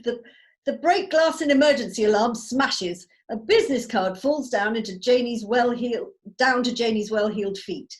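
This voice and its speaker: A woman's voice: on the high side, normal speed. A woman narrating scripted stage directions at a drama table read held over a video call.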